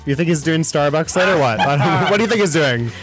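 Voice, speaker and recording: A man hosts a radio show with casual comedic banter. His voice is high and swoops expressively as he teases in a campy way. Music plays behind him. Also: lively intonation, fast. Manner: campy, teasing. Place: radio studio.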